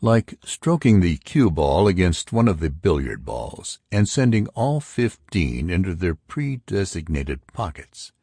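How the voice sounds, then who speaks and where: Male narrator playing contrarian man, precise objections, animated pitch, philosophical audiobook dialogue. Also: measured pace, hardly any pauses, breathy edge, no room sound, clean studio sound, heavily acted. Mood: objecting, probing.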